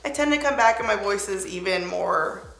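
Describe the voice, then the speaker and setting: A young woman with a raspy voice, chatting excitedly as she reviews a makeup product. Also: medium pitch, normal speed, reverberant. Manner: chatty, excited, filler-heavy. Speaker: beauty vlogger.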